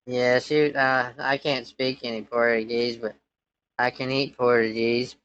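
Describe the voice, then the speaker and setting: A man's voice: mid-range voice, little pitch movement, measured pace, fairly bright tone, smooth, no echo, dull audio. A man in his twenties, a scambaiter posing as a son, chatting casually in a hesitant, rambling way. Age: 20s. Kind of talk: casual phone chat.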